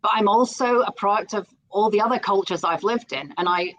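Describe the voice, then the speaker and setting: A woman's voice: mid-range voice, brisk, muffled recording. A middle-aged Scottish woman speaking as a guest in a live interview, enthusiastic and often repeating words as she starts a sentence. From Scotland.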